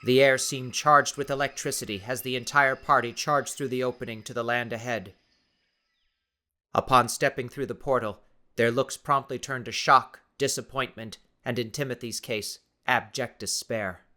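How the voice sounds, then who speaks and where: Bright-voiced man narrating an audiobook. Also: medium pitch, steady tone, moderate pace. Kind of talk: dramatic scripted narration.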